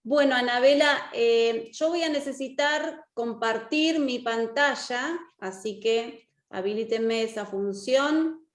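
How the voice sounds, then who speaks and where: An Argentine woman with a very high voice teaches an online class. She is encouraging and often checks in with short questions. Her voice rings in an echoey room. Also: takes it slowly, few pauses. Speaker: course teacher and lawyer. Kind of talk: interactive online lecture.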